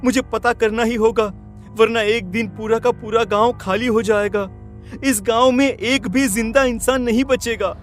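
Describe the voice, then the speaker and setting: A man playing a distressed but determined young village man, earnest and halting, in a horror cartoon story. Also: very high-pitched, steady tone, soft background music. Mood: grieving, determined. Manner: earnest, hesitant repetitions.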